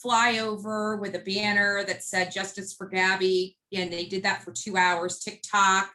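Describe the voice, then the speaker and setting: A woman with a bright, forward voice recounts things as an interview guest. She is blunt and emphatic, narrating in casual speech and slipping into quoted dialogue. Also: medium pitch, little pitch movement, measured pace, low-quality recording. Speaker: interview guest, street protester. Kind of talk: animated casual storytelling.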